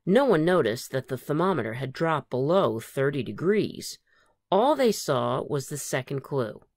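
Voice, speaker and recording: A woman reading a children's story aloud in an expressive way. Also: deep voice, unhurried, dry recording, clean studio sound. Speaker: storybook reader.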